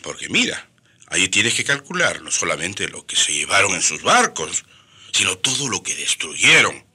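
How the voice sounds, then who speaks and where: Male radio co-host, Peruvian accent, hugely animated, bright and husky, chatty colloquial delivery. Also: low voice, normal speed. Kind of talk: conversational scripted radio dialogue.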